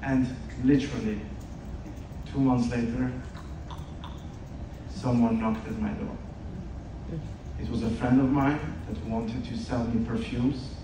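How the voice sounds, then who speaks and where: A man's voice: mid-range voice, fairly even intonation, takes it slowly, pauses often. Man in an echoing hall. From Switzerland. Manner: earnest storytelling, direct address to audience. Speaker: speaker at an event, podcast host. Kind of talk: motivational stage talk. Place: large event hall. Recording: distant echoey hall with noise.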